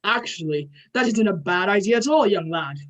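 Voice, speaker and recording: A woman's voice: mid-range voice, animated, moderate pace, fairly bright tone, natural voice. A woman playing a courteous but short-tempered male god with formal, grandiose speech in a play reading. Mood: gracious.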